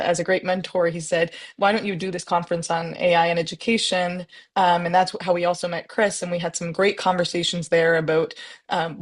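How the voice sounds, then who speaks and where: Female guest, slightly low voice, chatty and relaxed self-introduction. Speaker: guest author.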